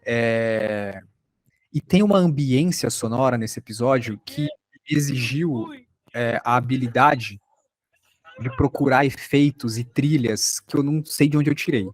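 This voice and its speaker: A man's voice: medium pitch, a little slow. A man with a Brazilian accent giving an informal online podcast workshop lecture in an explanatory, conversational way. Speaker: teacher, podcast maker.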